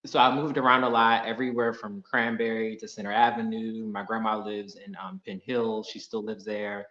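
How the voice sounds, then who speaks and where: A man from Pittsburgh joins a live dating show as a caller and talks in a polite, friendly, measured way. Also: on the low side, flat delivery, sharp tone, a little hiss or hum. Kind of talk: casual video-call chat. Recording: echoey, dull video-call audio.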